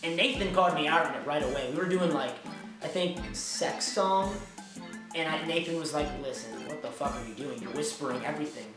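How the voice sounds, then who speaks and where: A man with a nasal voice, candidly recalling making his album in a documentary interview. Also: a little high. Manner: quick, candid, swears casually. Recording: very echoey room, distant mic, music underneath.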